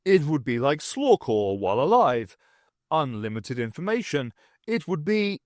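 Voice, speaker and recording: A man's voice: a little high, very animated, unhurried, dry recording, clean studio sound. A man voicing a stiff, literal-minded alien warrior with clipped, formal, declarative speech in a science fiction audiobook. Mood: solemn.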